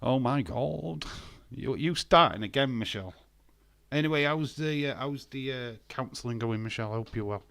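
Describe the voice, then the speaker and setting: A man in his fifties chats loosely at middle pitch, reading viewer comments and firing back blunt, sarcastic answers. He swears without a second thought. His voice sounds close and dry, with no room around it. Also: moderate pace, vocal fry, a bit bright, no room sound. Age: 50s. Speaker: live stream host. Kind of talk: casual chat reading live comments.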